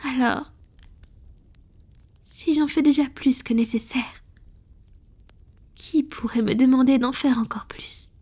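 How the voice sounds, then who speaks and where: A woman playing a tender, conflicted female vampire in an intimate whispered ASMR roleplay, breathy, slow and hesitant. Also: sits high, steady tone, mellow, heavily acted. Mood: tender, loving. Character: tender, conflicted wealthy female vampire confiding in her beloved.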